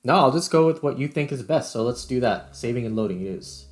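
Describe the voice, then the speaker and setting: A man's voice: medium pitch, expressive, fairly quick, faint music behind. A man hosting a video, talking casually and thinking aloud as he explains game development. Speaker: video creator and host.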